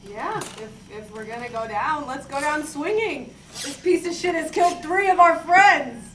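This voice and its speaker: A woman's voice: high-pitched, normal speed. A woman reading a character's lines at a comedy script table read. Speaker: reader of a character part. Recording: noisy echoey room, far from mic.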